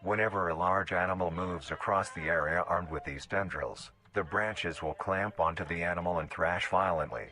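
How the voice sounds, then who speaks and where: A man's voice: low voice, moderate pace, few pauses, pushed and bright. A man reading scripted documentary-style narration for a horror video in a formal, clinical way.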